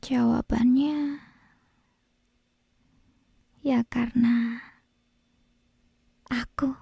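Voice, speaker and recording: A very slow, breathy whisper from a woman playing a possessive, lovesick yandere kidnapper girl in an ASMR roleplay. Her voice is soft and mellow, very close and menacing, with lingering pauses between phrases. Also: on the high side, steady tone, no room sound, exaggerated voice. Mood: possessive, smug. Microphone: close binaural microphone.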